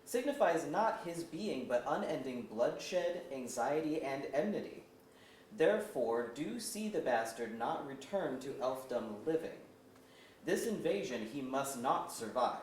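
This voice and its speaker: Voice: medium pitch, expressive, unhurried, a little mellow, lightly acted. A performer playing a stern, vengeful elf leader, declaiming formal archaic verse at a staged reading. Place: museum auditorium. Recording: echoey auditorium, far off, faint noise.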